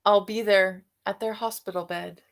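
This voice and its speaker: A woman reading a picture book aloud to children, expressive, with soft musing questions for young listeners. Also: medium pitch, normal speed, creaky. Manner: expressive reading, alternating with softer musing asides and hums. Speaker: read-aloud storyteller for children.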